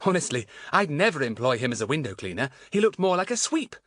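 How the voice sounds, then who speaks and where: Man voicing a high, eager schoolgirl. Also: sing-song, fast, a bit bright, dry recording. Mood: amused.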